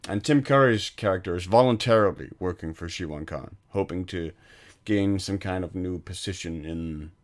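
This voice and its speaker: A man co-hosting a film podcast, casually recounting scenes in a halting, piecemeal way. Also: sits low. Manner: halting, piecing thoughts together in fragments.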